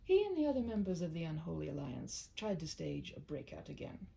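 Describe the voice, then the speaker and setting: A woman voicing a courteous, wryly amused schoolteacher in a read-aloud story, with a dry, animated manner. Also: deep voice, a little fast, creaky, a little hiss or hum, full character voice. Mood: polite, wry.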